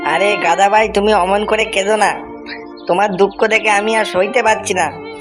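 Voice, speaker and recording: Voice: medium pitch, fairly even intonation, normal speed, close to an everyday voice. A performer voicing a clever, friendly fox in a cartoon moral tale, talking in a chatty, coaxing way. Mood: concerned. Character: clever, carefree, friendly fox who coaxes and cautions. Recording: music bed under the voice.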